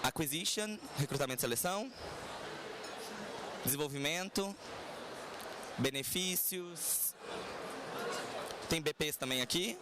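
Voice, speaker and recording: A man's voice: a little high, animated, measured pace, pauses often. Chatty man on a noisy expo floor. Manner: chatty, playful, uses slang and tag questions. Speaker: head of people, panelist. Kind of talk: casual conference panel talk. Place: conference stand. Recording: noisy venue ambience.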